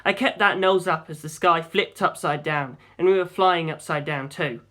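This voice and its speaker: A man's voice: a little high, moderate pace, bright, forceful tone. Man narrating an adventure story. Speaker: audiobook narrator. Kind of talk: first-person scripted story narration.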